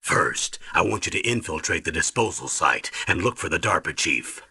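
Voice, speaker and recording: An actor playing an authoritative military commander in a video game, briefing in a firm, measured way with a rough, husky voice. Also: male voice, low voice, very animated, sharp tone, dry recording, clean studio sound, full character voice.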